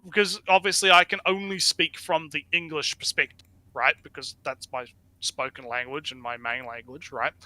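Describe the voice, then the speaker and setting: Bright, crisp-voiced rambling man. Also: a little high, no echo, low-quality recording. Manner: rambling, repeats words, swears casually. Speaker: reaction video host. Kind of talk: casual opinion chat.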